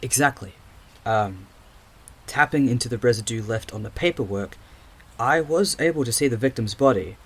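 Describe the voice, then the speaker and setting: A man acting a hesitant psychic investigator in an audio drama, speaking in a halting, earnest, stammering way. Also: mid-range voice, slightly rough, close to an everyday voice. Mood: earnest, careful. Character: hesitant young male psychic investigator with lost memories.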